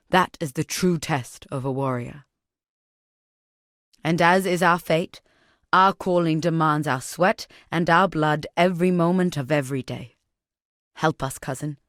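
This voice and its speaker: Game role: solemn warrior matriarch, voiced by an actress, fairly deep female register, deliberate and formal, protective, very dry recording. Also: no echo, close to an everyday voice. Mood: urgent, pleading. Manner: measured, grave, formal, deliberate phrasing. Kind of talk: video game character dialogue.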